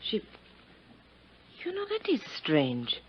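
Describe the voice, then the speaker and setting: A woman's voice: mid-range voice, huge pitch swings, slow. An elegant countess in a vintage radio mystery, evasive and formal in her phrasing. Her voice rises and falls widely. The recording is muffled, with faint noise behind her. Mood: evasive, composed. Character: elegant, evasive European countess, anxious and secretive. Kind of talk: 1950s radio drama acting.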